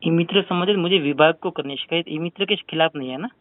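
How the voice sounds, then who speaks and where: A high-voiced man presses a complaint on a phone call. He talks fast and insistently, circling back to his point. The sound is thin telephone audio with very little room in it. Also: no echo. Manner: insistent, repeatedly arguing the point. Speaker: caller filing a complaint with a helpline. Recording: narrow-band phone call recording.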